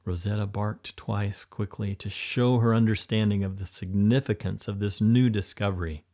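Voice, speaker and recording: A man narrating an adventure audiobook in a steady, gentle, measured reading. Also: lowish voice. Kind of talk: scripted storybook narration.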